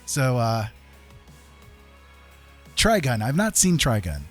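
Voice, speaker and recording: A man's voice: medium pitch, sing-song, slow, a bit bright, faint music behind. A middle-aged man chatting casually as a livestream host, rambling and emphatic, often repeating his phrases.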